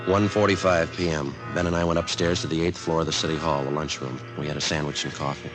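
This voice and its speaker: An actor playing a stern, deadpan American police sergeant in a 1950s radio drama, narrating in terse, matter-of-fact lines. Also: male voice, rumbling low, fast talker, music in the background, low-quality recording. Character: no-nonsense male police detective sergeant, stern and dry. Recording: vintage radio drama recording.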